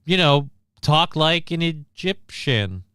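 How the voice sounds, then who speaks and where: A man's voice: fairly high pitch, animated, takes it slowly, fairly bright tone, clear, resonant tone, no room sound. Guy streaming, swearing about movies, sarcastic ranty style, clean resonant voice, close dry sound. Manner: blunt, sarcastic, ranting. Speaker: livestream host. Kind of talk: casual profane movie commentary.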